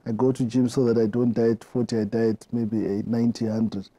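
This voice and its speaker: Man, middle-aged, Zimbabwean accent, soft mellow tone, reflective interview guest, halting conversational delivery. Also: mid-range voice, flat delivery.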